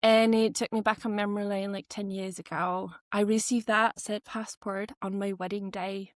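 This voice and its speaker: A woman's voice: medium pitch, level tone, normal speed, no room sound, clean studio sound. A woman in her thirties from Austria, a podcast guest telling her personal story in a chatty way, often laughing and restarting her sentences.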